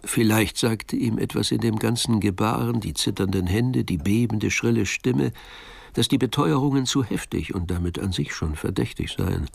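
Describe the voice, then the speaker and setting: A man's voice: fairly low pitch, moderate pace, vocal fry, husky. A man narrating a German audio drama in a scripted storytelling style.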